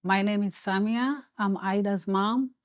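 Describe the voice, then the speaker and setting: Slow-spoken mother from Tanzania. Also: mid-range voice, no room sound, clean studio sound. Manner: earnest, pleading with kids to open up. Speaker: parent. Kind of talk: community discussion.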